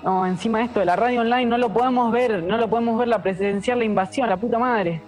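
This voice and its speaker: A woman's voice: fairly high pitch, quick pace, darkish tone, faint music behind, lightly acted. A woman playing a jittery, fatalistic radio sidekick with a Buenos Aires accent, blunt and slangy in a radio drama. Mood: panicky, fatalistic. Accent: Buenos Aires Argentine.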